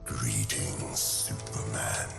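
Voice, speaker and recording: An actor playing a huge alien tyrant in a video game, pompous, menacing and gravelly. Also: male voice, very deep voice, steady tone, drawn-out words, heavily acted. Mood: smug, sinister. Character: huge menacing male alien tyrant villain, grandiose and sinister. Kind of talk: dramatic video game villain acting. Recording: loud music behind the voice.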